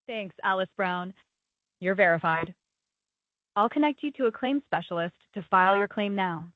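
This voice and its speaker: A woman's voice: medium pitch, no echo. A woman's synthetic voice working as a polite, procedural automated customer service agent, speaking scripted prompts. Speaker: automated claims phone agent. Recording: narrow tinny phone-like sound.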